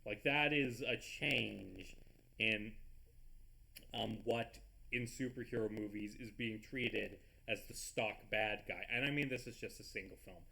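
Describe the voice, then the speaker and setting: A man with a stuffy nose rambling excitably in casual commentary over a game recording, swearing often and tripping over his words. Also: fairly low pitch, unhurried, creaky. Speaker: gaming video host.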